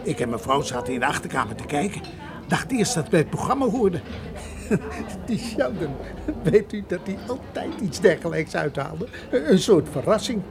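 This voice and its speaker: An actor playing a folksy, excitable café proprietor in a radio play, garrulous and colloquial in his storytelling. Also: high-pitched, normal speed, creaky, music in the background, heavily acted. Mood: excited gossip. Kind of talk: radio drama acting.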